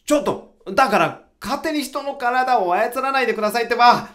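A man acts out an earnest young aspiring writer in a radio drama. His voice sits high and leaps around in pitch. He is excitable, flustered and quick to complain. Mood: exasperated. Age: young adult. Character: earnest, easily flustered young male aspiring mystery novelist.

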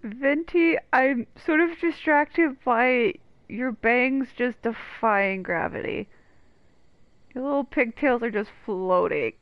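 A woman's voice: a little high, slow, no room sound. A woman hosting a game playthrough with casual commentary and wry, mocking asides. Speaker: gaming video host. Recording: dull lo-fi mic, game music behind.

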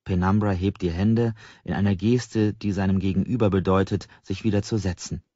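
A man's voice: deep voice, steady tone, moderate pace, warm tone. A man narrating a novel as an audiobook in a scripted storytelling style. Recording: slightly dull, roomy sound.

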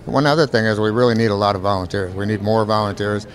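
A man's voice: on the low side, quick pace. An American man in his sixties explains things matter-of-factly in an unscripted interview. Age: 60s. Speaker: photo exhibit organizer. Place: indoor exhibit hall at a county fair. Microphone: handheld microphone.

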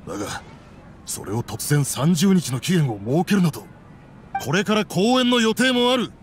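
男性が演じる、ゲームの劇場支配人。仲間を守る実直な人物で、落ち着いた毅然とした話し方。. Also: male voice, high voice, sing-song, moderate pace, a little hiss or hum. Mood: firm protest. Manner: composed, firm, formal. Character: principled, protective male theater manager.

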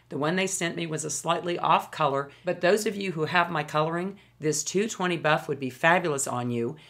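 A woman in her fifties, hoarse from a cold, chatting enthusiastically to camera while reviewing beauty products at home. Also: sits low, a bit bright. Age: 50s. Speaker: beauty vlogger. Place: home studio, indoors.

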